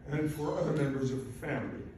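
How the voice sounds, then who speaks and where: A man's voice: fairly high pitch, quick pace, darkish tone. Elderly man speaking in a very echoey room. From Poland. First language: Polish. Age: 80s. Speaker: invited speaker, Holocaust survivor. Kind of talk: prepared commemorative speech, personal testimony. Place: large echoing room at a public event. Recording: very echoey hall, far off, faint noise.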